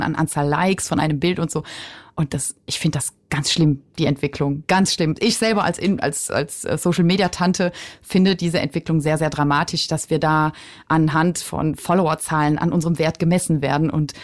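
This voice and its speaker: A woman with a fairly low voice speaks as a guest in a radio studio, chatty and earnest. She often restarts her sentences and fills pauses with little hesitations. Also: darkish tone. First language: German. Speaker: singer-songwriter guest. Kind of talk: casual interview talk.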